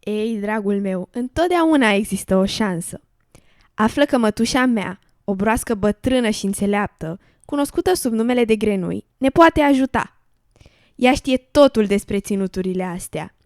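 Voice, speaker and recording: A woman's voice: medium pitch, lively intonation, a little mellow, no room sound, studio quality, lightly acted. A woman playing an encouraging little female frog in an educational radio drama, coaxing and reassuring, a hopeful believer in magic. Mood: reassuring, intriguing.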